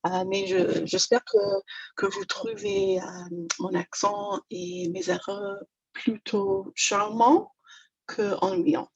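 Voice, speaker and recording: A slow, careful woman from Toronto presents a negotiation webinar in her second language. Her English Canadian accent is clear, and her voice carries some gravelly fry and a husky edge. The sound comes over a dull, lo-fi video call line. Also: medium pitch, big pitch swings. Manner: hesitant, many fillers, self-deprecating. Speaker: negotiation trainer.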